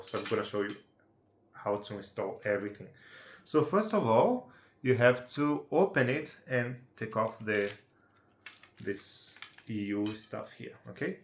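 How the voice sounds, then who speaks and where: A man's voice: lowish voice, fairly bright tone, roomy sound, a little hiss or hum. A man from Brazil with a Brazilian Portuguese accent, speaking English as a second language, giving a casual unedited how-to walkthrough and checking in with the viewer through tag questions. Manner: chatty, checks in with tag questions like "right?" and "yeah?". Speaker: amateur how-to presenter.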